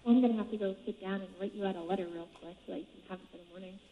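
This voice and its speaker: A woman's voice: mid-range voice, very fast. A woman chatting casually over the telephone in a recorded call. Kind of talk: casual phone conversation. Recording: thin, reverberant, noisy phone line.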